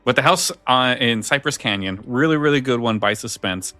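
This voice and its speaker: Bright-voiced man chatting on a podcast. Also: medium pitch, normal speed, no room sound, soft background music. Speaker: podcast co-host. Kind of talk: casual chat about scary stories.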